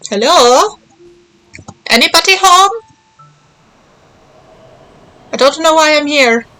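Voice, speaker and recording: A woman's voice: sits high, animated, takes it slowly, sharp tone, rough recording. A woman streaming a video game, chatting casually in short, playful reactions. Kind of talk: casual gameplay reaction chat.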